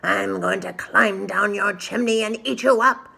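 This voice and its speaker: A woman's voice: mid-range voice, fairly even intonation, a little slow, gravelly, bright, forceful tone, slightly rough, full character voice. A woman voicing a hungry, scheming male wolf villain in a children's storybook, coaxing and theatrical. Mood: threatening. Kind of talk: children's storybook read-aloud.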